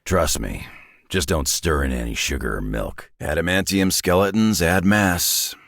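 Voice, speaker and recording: An actor playing a gruff, sardonic, battle-hardened male superhero in a video game, growling tough-guy slang in a raspy North American accent. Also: measured pace, fairly bright tone, no room sound, studio quality, exaggerated voice. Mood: brusque, dry. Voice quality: very deep, gravelly, raspy growl. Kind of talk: video game character voice lines.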